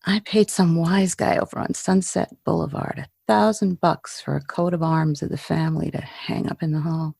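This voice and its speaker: A woman playing a jaded, frightened film star from the slums in a 1940s-style radio drama, breathy, halting and slangy, brooding with bitter wistfulness. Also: low-pitched, steady tone, a little mellow, dry recording, clean studio sound, exaggerated voice. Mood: bitter, nostalgic. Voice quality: husky, breathy.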